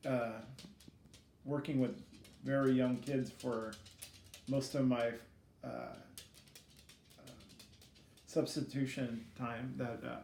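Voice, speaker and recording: A middle-aged man talks casually and reflectively, as if answering an interviewer. His delivery is halting and hesitant, at a medium pitch. The room is echoey, with faint noise behind him. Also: level tone, gravelly, a little mellow. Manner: hesitant, halting, self-critical. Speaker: student teacher being interviewed.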